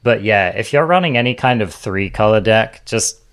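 A man's voice: on the low side, animated, moderate pace, a bit bright, smooth. A man hosting a card game discussion, giving casual, conversational commentary and explaining his takes.

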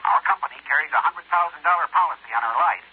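Brisk insurance executive on the phone. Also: male voice, a little high, slightly rough. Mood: brisk, businesslike. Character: brisk, businesslike male insurance company executive. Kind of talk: 1950s radio drama acting. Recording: tinny phone-like 1950s radio, faint noise.

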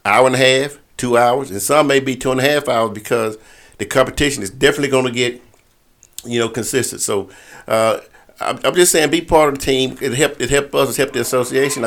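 A man's voice: mid-range voice, a bit bright. Man leading an informal team briefing. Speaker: officials' assigner leading a conference call. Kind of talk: informal briefing to volleyball referees.

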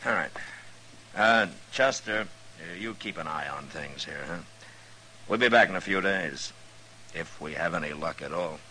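An actor playing a weary, steady American frontier marshal in a 1950s radio western, dry, measured and understated. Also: male voice, low voice, poor audio. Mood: dry, easygoing. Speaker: lead character and narrator.

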